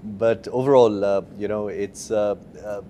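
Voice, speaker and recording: Halting man with a low mellow voice. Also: fairly even intonation, slight background noise. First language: not Urdu. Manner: halting, many repeated words. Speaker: actor, interview guest. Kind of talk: casual interview answers.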